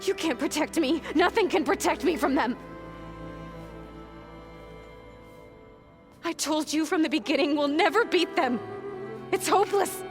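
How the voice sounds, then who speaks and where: A woman's voice: sits high, animated, moderate pace. An actress playing an anxious, despairing young woman in a video game, pleading and emotionally raw. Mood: despairing, upset. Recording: game dialogue over music.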